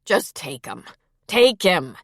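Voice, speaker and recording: Audiobook role: irritable older woman, played by a female narrator, low-pitched, curt, complaining, sore and tired. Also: very animated, slow pace, a bit bright, dry recording, studio quality, heavily acted. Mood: dry, dismissive. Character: irritable, pained older woman, weary and dismissive. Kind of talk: audiobook character dialogue.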